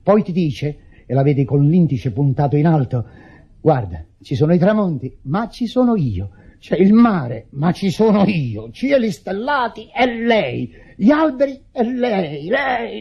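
Husky, anguished, guilt-ridden brother. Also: male voice, sits high, very animated, a little slow, exaggerated voice. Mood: tormented, grieving. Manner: impassioned, declamatory, anguished. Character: guilt-ridden brother, tormented widower, melodramatic and accusing. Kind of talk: theatrical Italian radio drama acting. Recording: muffled old radio broadcast recording.

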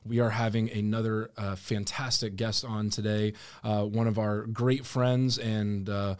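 Male podcast host, young adult, low smooth voice, dry studio sound, lots of fillers. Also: little pitch movement, a little slow, no echo. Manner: hesitant, filler-heavy, meandering questions. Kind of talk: casual interview chat.

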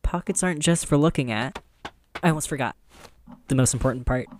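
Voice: medium pitch, brisk, noisy background, close to an everyday voice. An actor playing an upbeat, goofy young man, a generous friend who chats playfully in a casual audio drama. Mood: cheerful, eager. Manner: playful, chatty, mock-indignant.

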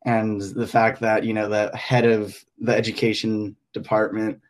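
A man's voice: lowish voice, little pitch movement, a little slow. Hesitant young man from Hong Kong. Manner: hesitant, thinking aloud with many fillers. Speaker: podcast guest, English teacher. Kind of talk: casual podcast chat.

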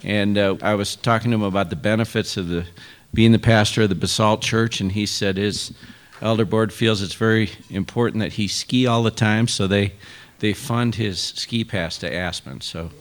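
A middle-aged man with a fairly low voice introduces the morning's speaker. He slips in small jokes and pauses on fillers as he goes. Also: fairly even intonation. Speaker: event host introducing a speaker.

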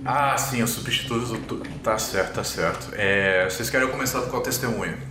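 A man's voice: lowish voice, normal speed, roomy sound, noisy background, natural voice. A man voicing a polite, flustered police station officer in a tabletop game, deferential and hesitant.

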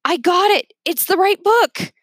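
A woman's voice: very high voice, lively intonation, unhurried, a bit bright, no room sound, studio quality, voice pushed up, heavily acted. A woman voicing an adventurous, determined young boy in a storybook, with an eager, exclamatory manner. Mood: excited.

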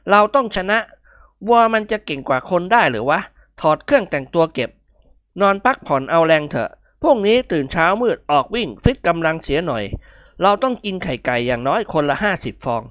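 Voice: medium pitch, big pitch swings, brisk, a bit bright, no room sound, clean studio sound. A reader voicing a playful rich young man who whines and bosses his friends in an audiobook. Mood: bossy. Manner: whiny protests, bossy urging. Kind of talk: audiobook character voice.

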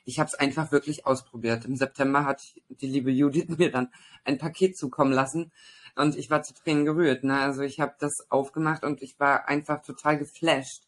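A woman with a raspy voice telling her story as a podcast guest, eager but halting, with many fillers and quick tag questions. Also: extremely low pitch, fairly even intonation, slight background noise. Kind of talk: personal testimony in casual conversation.

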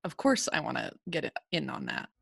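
A woman hosting a podcast, talking in a relaxed, conversational way. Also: sits low, fairly quick, vocal fry. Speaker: podcast host. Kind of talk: casual podcast chat.

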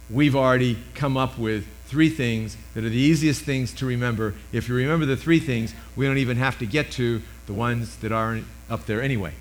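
An older man in his sixties delivers a sermon in a loud, carrying voice. He leans into emphatic points, then pulls back to a hush. His pitch sits in the ordinary middle range for a man, and he speaks into a microphone. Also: low-quality recording. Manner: emphatic preaching, swinging from loud to hushed. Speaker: preacher.

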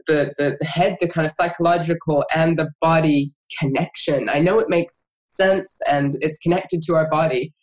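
A woman's voice: sits low, steady tone, moderate pace, hardly any pauses, darkish tone, poor audio. A woman hosting an interview, reflecting on her own experience and asking questions with frequent fillers and restarts. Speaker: podcast host. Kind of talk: conversational interview.

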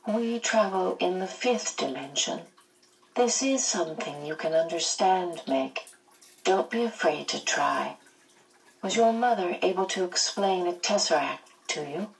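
A woman voicing a kindly, patient otherworldly female guide who explains gently, recorded at a distance. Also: fairly low pitch, lively intonation, unhurried, creaky. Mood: gentle.

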